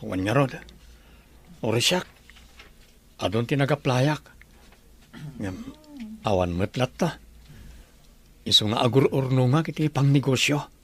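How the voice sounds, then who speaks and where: A man's voice: medium pitch, a little slow, long pauses, a bit bright, slight background noise, lightly acted. A man playing a humble, hardworking young man in an Ilocano audio drama, speaking earnestly and modestly. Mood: sincere.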